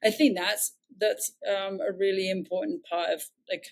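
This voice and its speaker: An English-accented woman speaking as an interview guest, her voice sitting at an ordinary middle pitch. She tells a long story in a reflective, earnest way, circling back and restarting sentences as she thinks. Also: fairly even intonation, normal speed. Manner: reflective, earnest, rambling with self-corrections. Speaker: nonprofit founder, interview guest.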